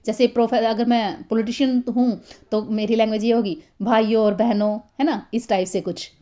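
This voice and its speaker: A woman's voice: on the high side, steady tone, moderate pace, mellow. A woman teaching a lecture, in a conversational way that repeats points and keeps checking with listeners. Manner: conversational, repeats herself, many fillers and checking questions. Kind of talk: explaining literary theory in a recorded lecture.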